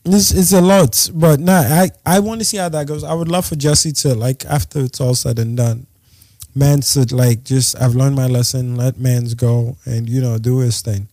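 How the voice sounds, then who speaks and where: A man's voice: medium pitch, steady tone, moderate pace, dark tone, dry recording, studio quality. A man co-hosting a podcast, chatting casually in a laid-back, slangy, joking way. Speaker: podcast co-host. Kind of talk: casual chat.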